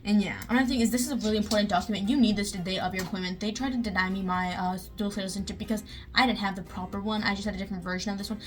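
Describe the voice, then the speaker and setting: A woman's voice: mid-range voice, steady tone, rapid-fire. A young woman from the United States, chatting casually in a how-to vlog, with lots of fillers like "you know" and "um". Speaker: vlogger.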